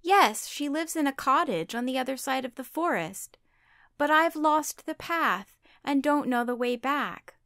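A woman's voice: high voice, animated, unhurried, a bit bright, no room sound, clean studio sound, voice pushed up, full character voice. A woman voicing a sweet, trusting little girl in a children's puppet show, innocent, polite and sing-song.

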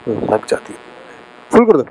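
A man in his thirties with an Indian accent, casually narrating a motorcycle ride in a relaxed, chatty way and reading out times and distances. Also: slow. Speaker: motorcycle vlogger. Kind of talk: casual ride commentary mixing Hindi and English. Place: on a motorcycle on a highway at night and dawn, outdoors. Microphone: helmet-mounted action camera mic. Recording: loud wind and engine noise on a moving motorbike.

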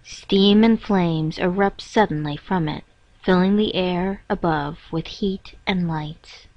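A woman reading a scripted guided meditation in a measured, instructing manner with pauses between phrases. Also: lowish voice. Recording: dull, roomy echoing lo-fi recording.

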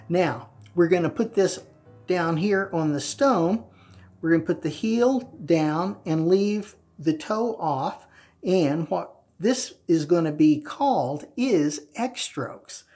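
Male, fifties, instructional tone, emphatic phrasing, unhurried pace, home bathroom recording. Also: on the high side, darkish tone. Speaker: presenter of a wet shaving channel. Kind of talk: instructional product demonstration to camera. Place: small tiled home bathroom, indoors.

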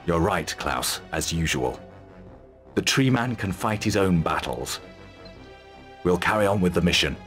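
An actor playing a resolute, grimly determined mercenary army commander in a video game, measured and authoritative. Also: male voice, sits low, animated, fairly bright tone. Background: music.

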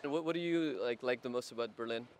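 A young man hosts a casual interview, asking brief friendly questions into a handheld microphone. His voice has a middle pitch. Faint street noise sits behind him. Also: fast. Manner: friendly, asks short follow-up questions. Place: outdoors on a city street at night, then in a cafe. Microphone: handheld mic held toward guests.

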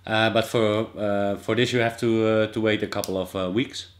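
A man's voice: lowish voice, fairly even intonation, moderate pace. A man in his thirties with a Dutch accent, explaining steps in a casual vlog with many fillers and restarts, recorded indoors in a small office by a desk camera. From Netherlands. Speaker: vlogger.

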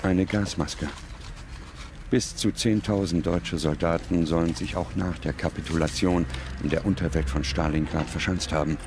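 A man delivering grave, measured scripted narration as a documentary narrator. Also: deep voice, fairly even intonation, noisy background.

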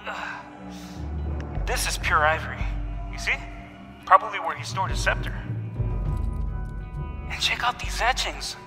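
An actor playing a wary, bookish soldier in an audio drama, by turns sarcastic and earnest. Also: male voice, high-pitched, lively intonation, slow, pauses often. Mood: fascinated. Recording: long hall reverb, music underneath.

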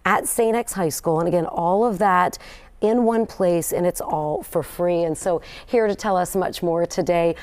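A woman news anchor with a notably low voice speaks in an upbeat, emphatic studio manner. She is middle-aged and miked with a clip-on lapel mic in a TV studio. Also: normal speed, a little mellow. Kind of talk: live studio interview.

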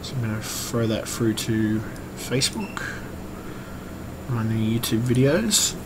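A man talks in a loose, rambling vlog style at a middling pitch. His voice is croaky and strained, and he pauses and hesitates as he goes. Clear noise sits behind him throughout the recording. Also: expressive. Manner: hesitant, rambling, swears casually. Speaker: vlogger and computer repairer. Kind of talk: rambling casual update vlog. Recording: clearly noisy room recording.

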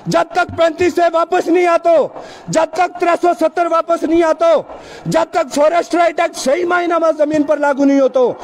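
A man, giving an impassioned political speech into podium microphones on an outdoor stage and shouting rhetorical questions. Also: very high-pitched, flat delivery, moderate pace. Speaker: political rally speaker. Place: outdoor tented stage at a rally. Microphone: several podium microphones.

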